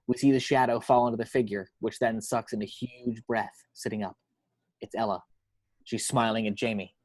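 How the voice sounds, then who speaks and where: A man's voice: mid-range voice, a little mellow, dry recording, poor audio. A man reading scripted action descriptions from a screenplay as narrator. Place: at home.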